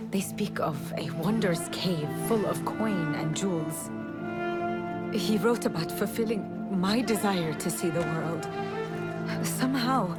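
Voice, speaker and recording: An actress playing a proud, spirited young merchant's daughter in a video game cutscene. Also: female voice, lowish voice, lightly acted. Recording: loud music behind the voice. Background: loud music.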